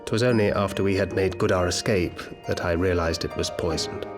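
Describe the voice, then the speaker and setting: A man's voice: low voice, fairly even intonation, a little fast, lightly acted. A man playing a grave, self-reproachful adventurer in a game cutscene, with a formal, sombre delivery. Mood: grim, regretful. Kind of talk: video game cutscene acting. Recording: background music under the dialogue.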